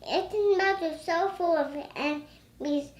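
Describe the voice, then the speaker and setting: A young child with a very high, bright voice reads a storybook aloud and chats. The delivery is slow and halting, with stumbles over words, in a roomy-sounding recording. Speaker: young reader. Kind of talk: reading a storybook aloud, chatting.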